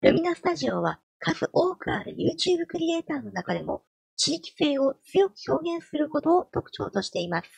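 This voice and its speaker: A man speaking through a voice changer that raises his pitch and formant, doing mic checks and reading prepared lines. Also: very animated, normal speed, creaky, no echo. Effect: voice changer raising pitch and formant. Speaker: video creator testing a voice changer. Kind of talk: mic test and reading a prepared text aloud. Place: small cluttered room at a desk.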